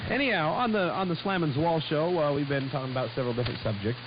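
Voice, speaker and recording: A man's voice: a little high, fast talker, muffled recording, background noise. A man co-hosting a casual radio talk show, rambling and stumbling over his words, on a shortwave broadcast. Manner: rambling, hesitant with frequent stumbles.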